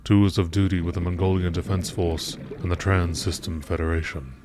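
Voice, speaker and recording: A man with a low voice reads out scripted log entries. His delivery is formal and measured. There is faint noise in the background. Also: little pitch movement. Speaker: narrator.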